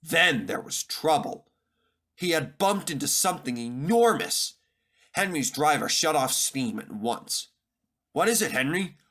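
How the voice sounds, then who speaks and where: A man reading a children's story aloud as narrator, in an expressive, dramatic, scripted style. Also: a little high, measured pace.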